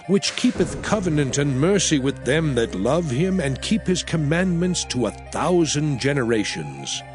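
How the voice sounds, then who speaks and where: Slow, grave male scripture reader. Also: fairly high pitch. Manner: slow, grave, emphatic declamation. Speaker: narrator. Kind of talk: dramatized King James scripture reading.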